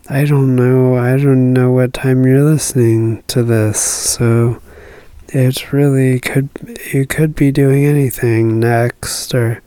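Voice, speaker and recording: A radio host signing off a show late at night, hoarse and losing their voice, rambling and self-deprecating as they trail off. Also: medium pitch, warm tone, dry recording. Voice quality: froggy.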